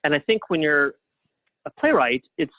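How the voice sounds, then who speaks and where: A man's voice: fairly high pitch, very animated, normal speed, no room sound. A middle-aged man speaks as a guest in a casual interview. His voice comes through a thin, phone-like connection with very little room sound. He tells things modestly, poking fun at himself. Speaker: guest playwright. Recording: narrow phone-like line.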